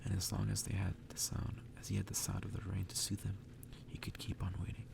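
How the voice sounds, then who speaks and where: A man softly reading a story aloud as ASMR, often breathy and half-whispered, with a gravelly fry. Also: sits low, monotone, quick pace, noisy background. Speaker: ASMR reader. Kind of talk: soft ASMR reading of a visual novel. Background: music.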